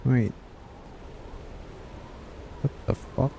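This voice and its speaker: A man streaming a game, giving casual, blunt reactions in drawn-out words. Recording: loud game music behind.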